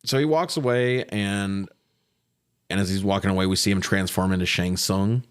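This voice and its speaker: A man with a fairly low voice talks through a film as a podcast co-host. His style is casual and slangy, peppered with profanity. He tells it like a story, acting out the quoted lines as he goes. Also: steady tone, normal speed, smooth. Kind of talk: casual movie recap banter.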